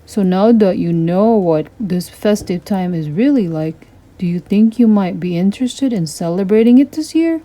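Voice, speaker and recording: A woman reads the part of a kind young man in a children's storybook. He is patient, gently amused and reassuring, with a soft, mellow tone as he explains. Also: mid-range voice, animated, moderate pace, lightly acted, rough recording. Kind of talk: children's storybook character reading.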